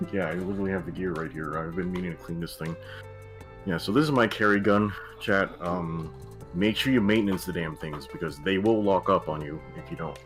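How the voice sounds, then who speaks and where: A man's voice: sits low, fairly quick, a bit bright, soft background music. A man streaming a casual hobby session, talking loosely to his chat with lots of fillers. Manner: laid-back, rambling with many fillers.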